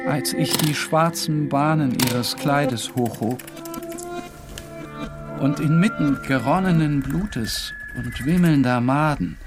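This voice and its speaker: Male literary narrator over clear music. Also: on the high side, takes it slowly. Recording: music behind the voice.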